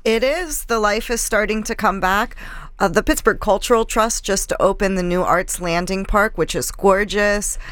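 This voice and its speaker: A woman's voice: medium pitch, normal speed, a bit bright, smooth, dry recording. A woman guest from an arts organization speaking in a friendly, promotional way in a casual interview.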